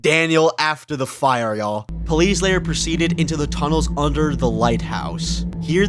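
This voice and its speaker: A man hosts a reaction video with loud music running under his voice. He talks casually and slangily, switching between reading text aloud and giving his own exclaimed asides. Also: fairly high pitch.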